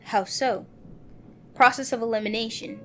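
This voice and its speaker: A woman's voice: fairly high pitch, steady tone, moderate pace, a little hiss or hum. A woman reading a visual novel aloud, moving between narration and character dialogue, with music playing behind her. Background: background music.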